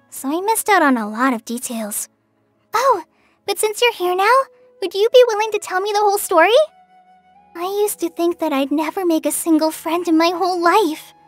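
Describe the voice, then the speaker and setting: An earnest young girl ranger from a fantasy video game, with a very high, youthful voice. She speaks softly and hesitantly, sincere and a little shy. Light music plays behind her. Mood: admiring, then grateful, reflective. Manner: hesitant, soft-spoken, sincere. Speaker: game character voice. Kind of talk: scripted video game character lines. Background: background music.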